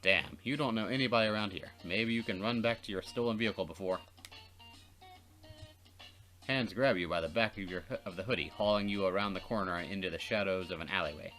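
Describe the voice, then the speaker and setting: A man's voice: fairly low pitch, quick pace, a bit bright, soft background music. A man doing a let's play, narrating dramatically and slipping into casual, jokey banter. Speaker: let's player reading a visual novel aloud.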